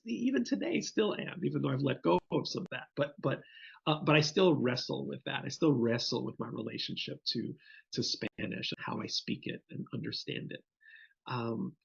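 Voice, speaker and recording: A man's voice: mid-range voice, gravelly, slightly rough, lo-fi sound. A man from the Bronx answering interview questions thoughtfully, often restarting his sentences. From Bronx, New York. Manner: thoughtful, with frequent restarts and fillers. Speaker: podcast guest.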